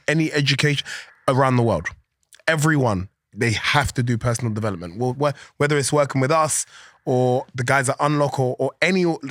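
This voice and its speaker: Guest: man, animated, loose and chatty, close dry mic. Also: medium pitch, dry recording. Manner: animated, chatty, laughs often, many fillers. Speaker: podcast guest, organisation founder. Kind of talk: casual interview chat.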